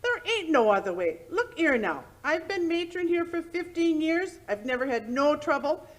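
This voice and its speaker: A woman playing an indignant, stubborn middle-aged matron who scolds in rough, ungrammatical speech in a radio drama. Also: high-pitched, very animated, moderate pace. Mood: indignant, threatening. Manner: scolding, uneducated grammar. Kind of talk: old-time radio drama acting.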